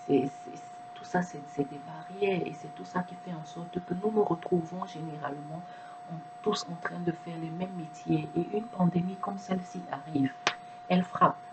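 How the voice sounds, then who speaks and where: A woman's voice: low-pitched, background noise. A woman speaking French as a second language, giving an earnest reflective monologue to camera, with frequent restarts and repeated words. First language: not French. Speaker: video presenter.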